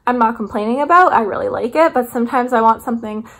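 A woman's voice: a little high, fast, fairly bright tone. A woman, chatting casually and enthusiastically to camera like a vlogger. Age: 20s. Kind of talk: casual haul chat to camera. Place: small living room indoors.

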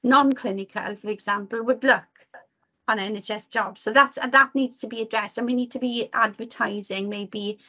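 A woman's voice: on the high side, steady tone, normal speed, sharp tone, poor audio. Earnest woman reporting on a video call. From Wales. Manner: earnest, with many ums and restarts. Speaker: Welsh language officer reporting to committee.

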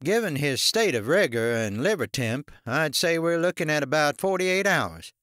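Man voicing a wry, savvy older paramedic, raised pitch. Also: lively intonation, fairly bright tone, no room sound, clean studio sound. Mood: wry, brisk. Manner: brisk, matter-of-fact, wry. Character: savvy late-fifties paramedic and medical examiner from Maine. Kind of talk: audiobook character dialogue.

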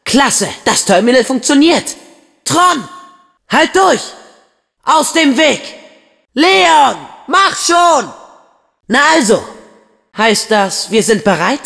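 An eager, loyal teenage boy hero from a video game. His delivery is earnest and youthful, with lively swings in pitch and an unhurried pace. The voice rings out in a long reverb. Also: vocal fry, heavily acted. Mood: excited, urgent. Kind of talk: video game voice acting, German dub.

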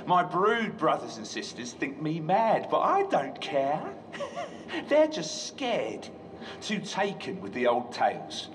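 A half-mad young male scavenger boasts in a high voice that swoops wildly. It is a sci-fi game log, recorded slightly distant with faint noise. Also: wildly swooping pitch, slow, full character voice. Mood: manic, defiant. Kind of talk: dramatic game audio log monologue.

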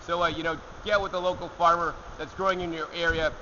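A young man hosting a gardening show, answering viewer questions to camera outdoors in a rock alcove, energetic and gesturing as he talks. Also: a little high, fast, highs cut off, background noise. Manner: energetic, talks with his hands.

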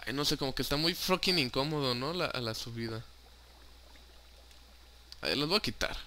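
Bright-voiced young Mexican man gaming. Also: medium pitch, animated, normal speed. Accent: Mexican Spanish. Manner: chatty, joking, swears casually, mixes in English words. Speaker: gaming YouTuber. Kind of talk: casual Minecraft let's play commentary.

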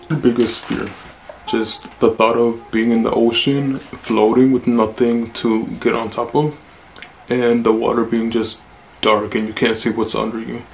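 A man in his twenties with a deep voice, chatting casually through a Q&A vlog in a small room, blunt and relaxed. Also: gravelly, a little hiss or hum, low-quality recording. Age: 20s. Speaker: vlogger.

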